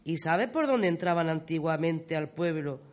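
A woman's voice: low voice, animated, moderate pace. Woman acting an old village grandma, Murcian accent, folksy, exclamatory, proud storyteller, radio drama. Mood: reminiscing. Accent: Murcian Spanish. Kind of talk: radio play storytelling dialogue.

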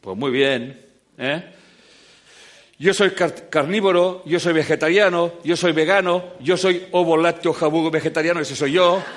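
Animated man lecturing an audience. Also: a little high, unhurried. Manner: animated, joking, swings between loud and quiet. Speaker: speaker giving a talk.

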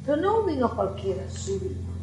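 A woman's voice: high-pitched, fairly quick. Italian-accented woman speaking in an echoey hall. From Italy. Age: middle-aged. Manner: chatty, lively, asks the audience questions. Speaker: architect giving a conference talk. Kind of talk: conference talk. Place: lecture hall. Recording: echoey hall with faint music.

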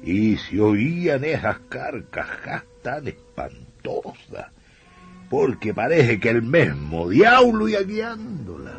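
An actor playing a folksy Chilean country storyteller, telling a spooky radio play tale in a colloquial, suspenseful way. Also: male voice, mid-range voice, huge pitch swings, slow, soft background music, exaggerated voice. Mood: confiding, suspenseful. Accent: rural Chilean. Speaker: radio drama narrator.

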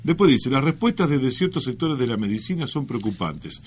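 A man's voice: mid-range voice, sing-song, a little fast, darkish tone, a little hiss or hum. An Argentine man hosting a radio show, chatting casually and reading clippings with mock-serious irony. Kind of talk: casual comic banter, reading press clippings aloud. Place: radio studio.

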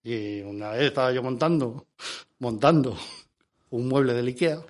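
A man's voice: medium pitch, moderate pace, a little mellow. A man from Spain with a Spanish accent doing joking radio chat, theatrical and repeating himself for emphasis. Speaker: comic regular of a radio show segment.

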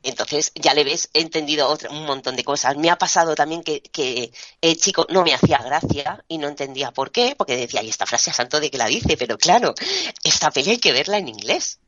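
A woman's voice: deep voice, expressive, brisk, a bit bright, no echo, dull audio. A woman from chatting informally as a podcast panelist, speaking from personal experience. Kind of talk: casual chat.

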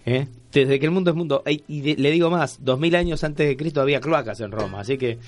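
A man's voice: a little high, fast, no echo. A man from Argentina with an Argentine accent hosting a casual radio chat, repeating phrases for emphasis. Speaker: radio magazine host.

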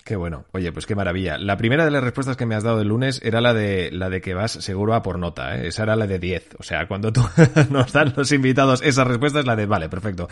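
A man's voice: on the low side, fast. A man hosting an interview, talking in a chatty, enthusiastic way with some "eh" fillers. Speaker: host.

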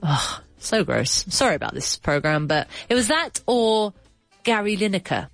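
A woman's voice: mid-range voice, sing-song, moderate pace, gravelly, no echo, faint music behind. A woman hosting a casual bilingual chat, explaining English words, chatty and laughing, with a runny nose. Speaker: podcast host teaching English.

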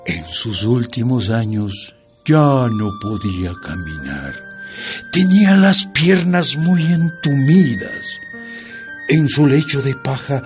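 An actor playing a grown son who narrates his mother's life in a radio drama, solemn and affectionate, in measured storytelling. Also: a little high, darkish tone. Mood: sombre.

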